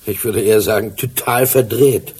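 An actor playing a sceptical police sergeant and sidekick in a crime radio drama, with a questioning, wry manner and a husky voice. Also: male voice, lowish voice, fairly even intonation, normal speed, darkish tone.

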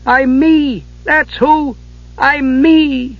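A man voicing a small, excitable male kender with a high, childlike voice, in a dull lo-fi recording. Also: drawn-out words, exaggerated voice. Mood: elated.

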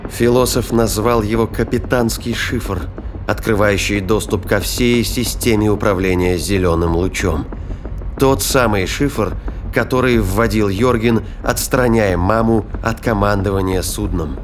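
A man's voice: on the low side, unhurried, a little hiss or hum. A man narrating a sci-fi thriller audio drama in a scripted, dramatic storytelling style.

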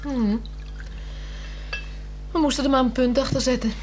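A woman's voice: high-pitched, fairly even intonation, moderate pace, natural voice. A woman acting a sardonic, bossy, teasing wife in a 1960s radio drama, with a mocking, theatrical delivery. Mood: dismissive. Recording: noisy old radio recording with hum.

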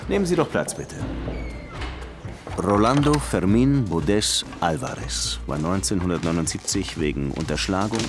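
An actor playing a hard-boiled, short-tempered Cuban police detective who also narrates a German radio crime drama in a dry, sardonic way. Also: male voice, low-pitched, vocal fry, exaggerated voice. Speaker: detective and first-person narrator.

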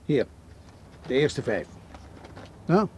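An actor playing a shrewd, protective Egyptian tour guide in a radio drama, bargaining firmly. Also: male voice, medium pitch, big pitch swings, drawn-out words. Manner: firm, bargaining. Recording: clear background noise.

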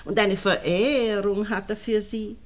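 An actress playing a nosy, falsely friendly married neighbour with a Viennese accent, chatty and insinuating, in a 1960s radio play. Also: female voice, medium pitch, fairly even intonation, a little slow, close to an everyday voice. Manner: chatty, insinuating, colloquial dialect.

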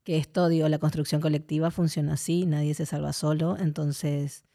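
A woman's voice: sits low, level tone, normal speed, warm tone. A woman from a community project talking casually on a podcast, rambling and restarting her sentences.

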